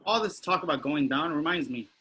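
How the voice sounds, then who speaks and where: A man's voice: fairly high pitch, expressive, a little fast, sharp tone, breathy edge, exaggerated voice. A man reading the part of a boastful, oblivious older man in a sitcom table read, with some noise behind him.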